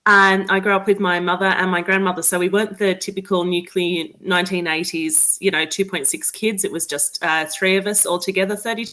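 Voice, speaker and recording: A woman in her forties with an Australian accent and a slightly low voice. She speaks as a guest in a casual, candid conversation. Her delivery is wry and joking. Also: fairly even intonation, a little fast, few pauses, sharp tone, rough recording. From New South Wales, Australia. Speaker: interview guest, romance author.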